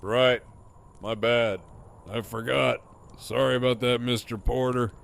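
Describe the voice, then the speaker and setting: A man playing a cocky, teasing, foul-mouthed prisoner in an audio drama, with a smug, sardonic drawl and a gravelly voice. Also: mid-range voice, fairly even intonation, unhurried, fairly bright tone. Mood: mock apologetic. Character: cocky, teasing, profane male prisoner with a grin.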